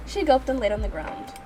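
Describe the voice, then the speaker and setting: Female gaming host, fairly high pitch, casual narration and reaction, playful, slangy, music in background. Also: low-quality recording.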